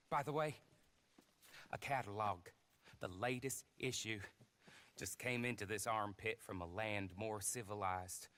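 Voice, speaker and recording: An actor playing a polite, smooth-talking, gloomy station clerk in a western video game, speaking in a wordy, mock-courteous way. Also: male voice, mid-range voice, a bit bright.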